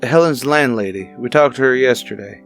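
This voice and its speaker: A man's voice: medium pitch, normal speed, creaky, fairly bright tone, faint music behind. A man playing a businesslike police detective who questions in a clipped, matter-of-fact way in a radio drama. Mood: probing. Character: businesslike male police detective partner, probing. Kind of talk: old-time radio detective drama acting.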